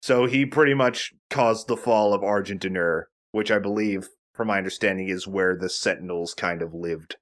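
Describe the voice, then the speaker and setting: A man's voice: low voice, moderate pace, few pauses, a bit bright. A man giving casual, chatty commentary as he explains a video game. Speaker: let's play host.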